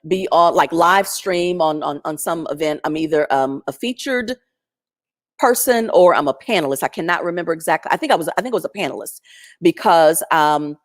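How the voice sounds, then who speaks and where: Woman guest, lowish pitch, strong loud voice, lively chatty storyteller on a video call. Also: a little fast. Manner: chatty, animated, acts out quoted voices. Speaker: featured guest. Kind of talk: storytelling testimony.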